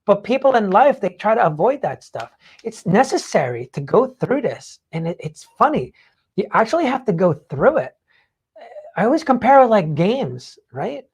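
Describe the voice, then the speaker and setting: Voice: medium pitch, very animated, moderate pace, darkish tone, breathy edge. A podcast host talking casually and emphatically in a rambling way, swearing freely while explaining ideas. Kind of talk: casual talk explaining book takeaways.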